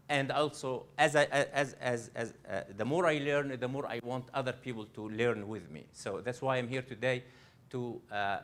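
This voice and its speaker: A man from Egypt, a coach presenting a live workshop to an audience. He speaks earnestly, often restarting and repeating phrases as he addresses the room directly. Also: medium pitch. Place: library event room.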